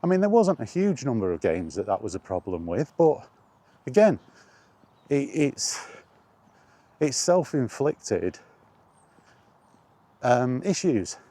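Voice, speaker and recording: A man's voice: mid-range voice, very animated, takes it slowly, pauses often, mellow. A man talking in a casual, rambling monologue, reminiscing and thinking aloud as he goes. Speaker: host of a gaming chat vlog.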